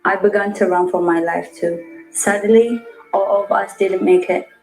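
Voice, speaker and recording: A woman plays an eighteen-year-old giving a steady scripted account. Her pitch stays flat throughout. The voice echoes in a room with faint music behind it. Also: normal speed, vocal fry, close to an everyday voice. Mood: somber. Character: eighteen-year-old girl caught in shelling. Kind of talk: scripted first-person testimony.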